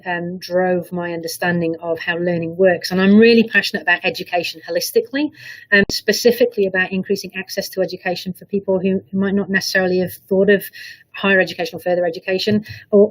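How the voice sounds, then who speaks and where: A British woman speaks over a video call in a slightly husky, breathy voice, explaining her ideas earnestly and at length. She is a university director giving considered answers in a panel interview, with small restarts as she thinks. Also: fairly low pitch, rough recording. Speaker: university director of student employability.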